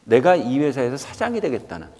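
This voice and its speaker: A man's voice: medium pitch, sing-song, a little fast, darkish tone. A man in his fifties from South Korea gives a motivational lecture to students, emphatic, questioning listeners directly and repeating key points. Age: 50s. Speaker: guest lecturer, company executive. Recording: reverberant hall sound.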